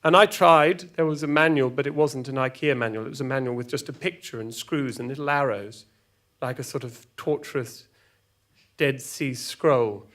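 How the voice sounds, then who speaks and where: A man's voice: medium pitch, a bit bright, close to an everyday voice. A man acting a wistful, hesitant character who falters through a tender memory in an audio drama monologue. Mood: rueful amusement. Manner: hesitant, halting.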